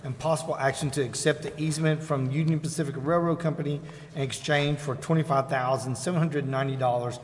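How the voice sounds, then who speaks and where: A man's voice: a little high, steady tone, fast. A man chairing a formal meeting, reading out agenda items and calling for discussion in procedural language. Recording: echoey council chamber, faint noise.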